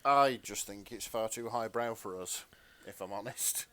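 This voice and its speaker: A man's voice: fairly low pitch, measured pace, fairly bright tone, no room sound. A man co-hosting a casual podcast conversation. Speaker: podcast co-host.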